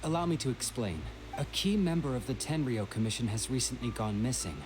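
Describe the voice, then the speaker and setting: A man's voice: mid-range voice, expressive, brisk, noisy background, close to an everyday voice. An actor playing a polite, earnest, long-suffering young man in a video game, courteous yet exasperated. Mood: earnest explaining.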